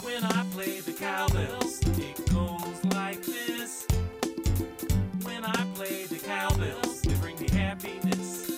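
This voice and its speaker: A woman sings a children's song as a steady, slow chant. Loud backing music plays behind her voice. She calls out each line as if leading a group. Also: mid-range voice. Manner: chanting call-and-response lyrics.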